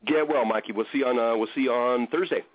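A man's voice: medium pitch, fast talker, no echo. A man, a guest musician, calling in to a radio interview and talking with upbeat enthusiasm. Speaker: guest band member. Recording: tinny dry phone line.

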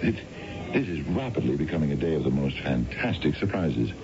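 Courtly male money man, gravelly bass, dignified but lovestruck, vintage radio drama. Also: very animated, fairly quick, full character voice. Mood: smitten, earnest. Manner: courtly, formal, hesitant phrasing. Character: dignified, smitten male investment adviser, courtly and flustered. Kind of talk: 1970s radio drama acting. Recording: dull vintage radio recording with music.

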